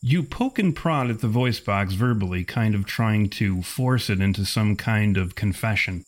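A man's voice: lowish voice, normal speed. A man narrating a tabletop role-playing game as game master.

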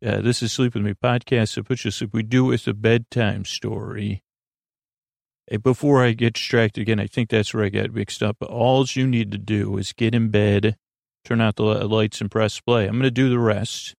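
Male sleep podcast host: low-key soothing rambler, gravelly creak, close dry sound, wandering and stumbling gently through sentences. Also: flat delivery, no echo. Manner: meandering, halting, self-interrupting; lulling, soothing.

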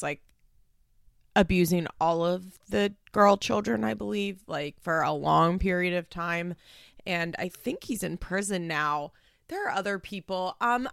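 Congested, low-voiced woman podcaster. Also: gravelly, a bit bright, clean tone, dry recording, clean studio sound. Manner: chatty, frequent "like" fillers, swears casually. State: stuffed up, congested. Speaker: podcast host. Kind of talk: casual podcast chat.

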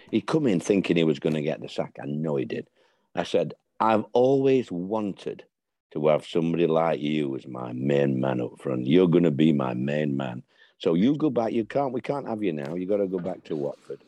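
Deep-voiced older storyteller. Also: male voice, no room sound. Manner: animated storyteller, acts out dialogue. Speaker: former football manager telling stories. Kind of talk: casual anecdotal chat.

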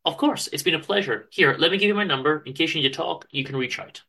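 A man's voice: fairly high pitch, fast talker, gravelly, pushed and bright, heavily acted. A man voicing a caring, polite young female student in a story, speaking solicitously. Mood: concerned, kind. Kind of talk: amateur dramatic story reading.

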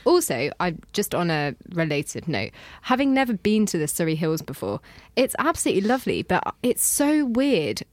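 A woman in her thirties hosts a relaxed podcast chat, laughing often as she talks. Her voice sits a little low for a woman. The sound is close and dry, with no room echo. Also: moderate pace, hardly any pauses. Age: 30s. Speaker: podcast host. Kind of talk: casual chat.

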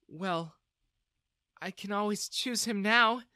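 A man voicing a gentle, apologetic middle-aged mother in a narrated story. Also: very high-pitched, very animated, unhurried, no room sound, clean studio sound, pitched above the performer's own voice, exaggerated voice.

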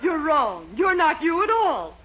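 Domineering middle-aged landlady, swooping melodramatic delivery, deliberate pace, gushing, scheming, muffled vintage radio. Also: high voice, sing-song. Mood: coaxing. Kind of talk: 1940s radio sitcom acting. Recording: noisy, muffled 1940s radio recording.

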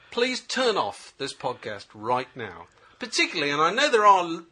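Lively male host, bright husky tone, enthusiastic and stumbling. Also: high voice. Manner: enthusiastic, warm, stumbling restarts. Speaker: podcast host. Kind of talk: casual studio chat.

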